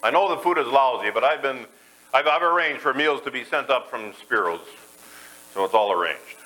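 A man voicing a husband who grows colder toward his sick wife, in a brisk, impatient manner. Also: mid-range voice, lively intonation, bright, forceful tone, rough recording. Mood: mock concerned.

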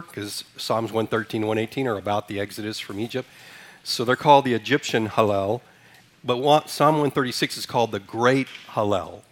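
A man from Sudan preaching a sermon to a congregation, talking casually, putting questions to them and then leading a responsive reading line by line. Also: medium pitch. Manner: conversational, asks the congregation questions. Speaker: pastor.

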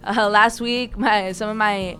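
A woman's voice: medium pitch, steady tone, measured pace, a bit bright, faint music behind. A young woman co-hosting a student podcast, chatting in a rambling way with lots of fillers. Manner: rambling, many fillers like "you know". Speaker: podcast co-host. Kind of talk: casual podcast chat.

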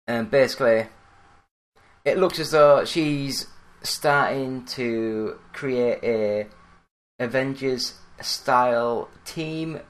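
Man, Yorkshire accent, monotone delivery, mid-pitched voice, solo podcast host. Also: very slow. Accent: Yorkshire English. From Yorkshire, England. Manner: monotone, plain-spoken. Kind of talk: solo gaming news podcast.

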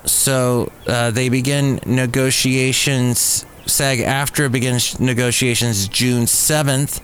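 A man's voice: mid-range voice, steady tone, slow pace, a bit bright. A man hosting a solo podcast, delivering entertainment news commentary in a wry, opinionated way. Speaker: podcast host. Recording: clear background noise and ambience.

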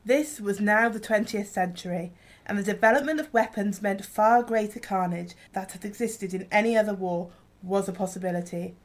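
Woman narrating, medium pitch. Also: moderate pace. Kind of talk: scripted radio play narration.

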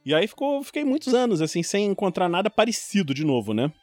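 A man in his forties with a Rio de Janeiro Brazilian accent, telling personal stories in an animated, informal way. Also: high-pitched, fast, no echo, soft background music. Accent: Rio de Janeiro Brazilian Portuguese. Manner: animated, informal storytelling with quoted exclamations. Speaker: podcast guest, longtime tabletop RPG player. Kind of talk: casual chat, personal storytelling.

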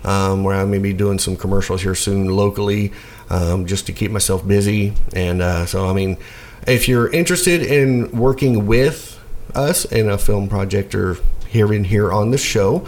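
Rambling low-voiced male radio co-host. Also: normal speed, a little mellow. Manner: rambling, filler-heavy, joking. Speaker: podcast co-host. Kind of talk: casual radio show chat.

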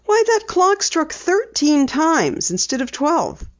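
A woman voicing an eager, earnest teenage girl sleuth in a storybook reading, high and insistent. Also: big pitch swings, slow pace, highs cut off, heavily acted. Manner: bright, earnest, high-pitched pleading.

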